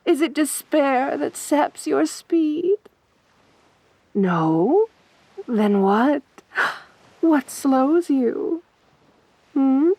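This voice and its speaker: A woman performing an ASMR roleplay as a sadistic, teasing winged siren, speaking softly and breathily with taunting, coaxing mock sympathy. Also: sits high, sing-song, extremely slow, pauses often, no echo, heavily acted, poor audio. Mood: mocking, amused. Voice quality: soft, breathy.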